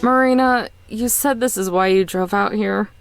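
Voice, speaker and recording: Skeptical young woman, played by an actress, visual novel, dry, doubting, mid-pitched, faint music behind. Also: normal speed, creaky, natural voice. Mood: challenging.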